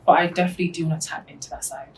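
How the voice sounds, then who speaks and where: A woman's voice: low-pitched, steady tone, rapid-fire. Chatty young woman, distant mic. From Britain. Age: young adult. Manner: chatty, often adds "do you know what I mean". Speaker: podcast co-host. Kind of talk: casual chat.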